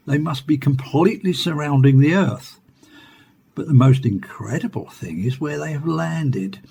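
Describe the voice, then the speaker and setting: An earnest male professor explains with precision and certainty in a radio drama. His voice is mellow with a creaky, gravelly edge, over faint background noise. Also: mid-range voice. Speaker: scientist interviewed on radio. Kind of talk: scripted radio play interview.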